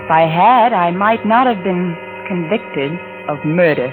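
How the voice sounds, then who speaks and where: An actress playing a cold, scheming wife turned killer in a 1940s radio suspense drama, speaking with taunting deliberation. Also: female voice, lowish voice, animated, measured pace, low-quality recording. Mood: rueful, narrating. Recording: vintage radio recording with music behind.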